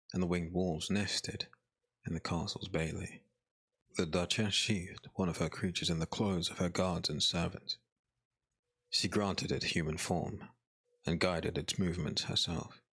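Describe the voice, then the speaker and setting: A man narrating a scripted dark fantasy audiobook in a grave, dramatic storytelling manner. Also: deep voice, vocal fry, husky.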